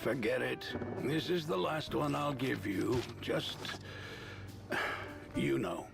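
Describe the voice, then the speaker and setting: A man playing a world-weary handler in an action video game trailer, with loud music over the voice. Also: medium pitch, lively intonation, takes it slowly, creaky, full character voice. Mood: wistful, reproachful. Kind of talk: scripted game trailer acting.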